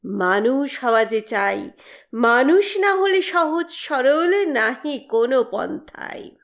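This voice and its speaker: A woman reciting poetry in a dramatic, exhorting style with measured phrasing. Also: sits high, big pitch swings.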